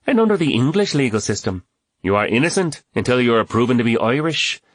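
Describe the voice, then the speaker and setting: Man voicing an arch, witty woman, pitched up. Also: expressive, moderate pace, dry recording. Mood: sly. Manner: arch, quipping. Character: witty woman, playfully sparring, teasing dinner guest.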